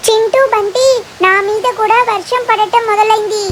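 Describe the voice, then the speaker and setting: An anxious little cartoon girl , acted by a performer. The voice is extremely high and childlike, with a plaintive manner and some noise behind it. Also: steady tone, normal speed. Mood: frightened. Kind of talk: animated children's story dialogue.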